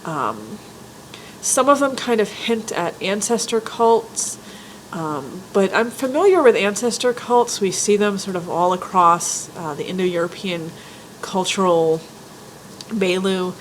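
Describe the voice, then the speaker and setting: A woman with a stuffy head cold giving an informal spoken lecture, careful and candid, often pausing with ums and restarting her sentences. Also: medium pitch, lively intonation, a little hiss or hum, rough recording. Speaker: folklore writer and teacher.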